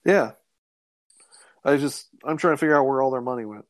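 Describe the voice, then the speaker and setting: A man's voice: medium pitch, fairly quick. A man co-hosting a casual chat, thinking aloud and puzzling things over in a conversational way. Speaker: podcast co-host. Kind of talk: casual chat reviewing old radio serials.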